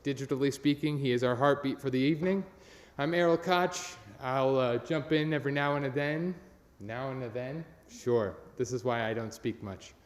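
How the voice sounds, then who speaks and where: A man's voice: mid-range voice, moderate pace, hardly any pauses. A man giving an informal, sincere and self-deprecating welcome as host of a live radio play. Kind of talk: informal welcome speech to an online audience. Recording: echoey room with long reverb.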